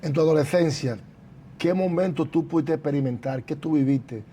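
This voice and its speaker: A pastor with a mild, mellow voice hosts a church testimony broadcast, speaking formally and in a preacherly way. Faint background noise sits under his voice. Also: on the high side, normal speed. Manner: preacherly, formal, interjects praise. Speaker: pastor and program host. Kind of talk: church program interview. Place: church building.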